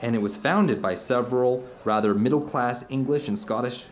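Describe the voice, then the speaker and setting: A man's voice: mid-range voice, normal speed, natural voice. Earnest, rambling male storyteller played by an actor. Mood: earnest wonder. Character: earnest, fervent middle-aged theatre man recounting strange experiences. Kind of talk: audio drama dinner-table monologue.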